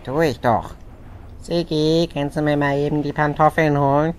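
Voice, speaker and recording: A man's voice: a little high, fairly even intonation, normal speed, a little hiss or hum, close to an everyday voice. Man as a sarcastic, nagging animal sidekick. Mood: mocking. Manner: mocking, whiny imitation. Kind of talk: German audio drama parody acting.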